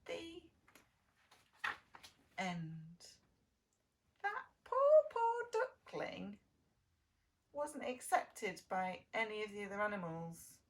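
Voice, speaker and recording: A woman reading a children's storybook as a preschool lead, with sing-song delivery, dramatic pauses and simple questions for young listeners. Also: medium pitch, sing-song, slight background noise. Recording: distant microphone.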